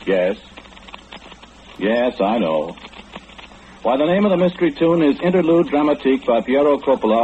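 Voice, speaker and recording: A man's voice: fairly low pitch, a little hiss or hum. An actor playing a mild, fussy know-it-all man in a conversational 1940s radio drama monologue, genteel and hesitant, often correcting himself, on a muffled old radio recording. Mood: polite, unruffled.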